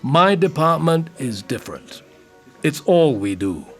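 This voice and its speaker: An actor playing a gruff, jaded, hard-drinking senior detective in an audio drama, blunt and terse. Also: male voice, a little high, sing-song, measured pace, fairly bright tone, heavily acted. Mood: terse, probing. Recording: music behind the voice.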